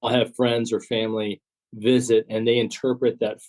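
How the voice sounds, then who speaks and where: A man from Texas, a former agent turned author, answering interview questions in a steady, measured way. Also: medium pitch.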